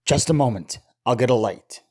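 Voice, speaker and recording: A man playing a gentle, devoted husband and scientist in an old-time radio drama, speaking soberly. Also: mid-range voice, lively intonation, moderate pace, slight background noise, natural voice. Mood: quiet wonder.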